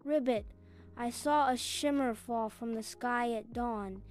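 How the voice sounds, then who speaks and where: Woman as a storybook frog, helpful, raised pitch, soft music behind. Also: slow pace. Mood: calm, helpful. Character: helpful, slow-blinking pond frog. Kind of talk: children's storybook animal dialogue.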